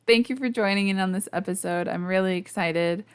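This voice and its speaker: A young woman with a gravelly, fraying voice chats on her podcast mic, sleepy and hungover. She rambles warmly with plenty of ums and quick laughs, poking fun at herself. Her pitch sits in an ordinary middle range. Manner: chatty, self-deprecating, laughs often, lots of fillers. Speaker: podcast host. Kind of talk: casual solo podcast chat. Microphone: podcast microphone.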